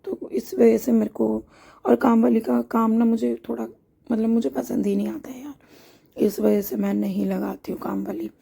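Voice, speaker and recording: Hoarse lady narrating her home chores, super gravelly and dark, kinda husky. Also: fairly high pitch, level tone, normal speed. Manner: chatty and homely, explains each step as she goes. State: hoarse from a sore throat. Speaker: home lifestyle vlogger. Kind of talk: casual voice-over narration of a home routine.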